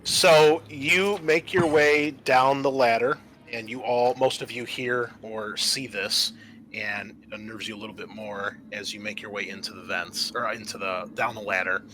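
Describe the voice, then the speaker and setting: A man working as game master, narrating a tabletop horror game in a halting, explanatory way. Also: fairly low pitch, fairly even intonation, sharp tone, slight background noise, poor audio.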